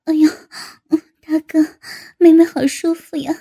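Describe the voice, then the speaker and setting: A woman voicing a shy, flustered middle-aged woman, breathless and whimpering, in a very high, soft voice. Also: level tone, unhurried. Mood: bashful.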